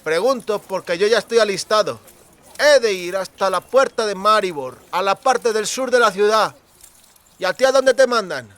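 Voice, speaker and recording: A man's voice: high-pitched, animated, normal speed, fairly bright tone, pitched above the performer's own voice. A man voicing a drunken, boisterous, friendly young cooper with rustic, exclamatory speech for an audiobook. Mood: chatty, grumbling. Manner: exclamatory, colloquial rustic speech with clipped words.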